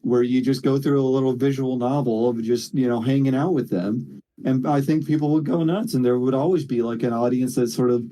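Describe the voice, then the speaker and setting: Male co-host for a casual gaming chat. Mellow, relaxed tone, halting and slangy, swears freely. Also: mid-range voice, low-quality recording. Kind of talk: casual chat about video games.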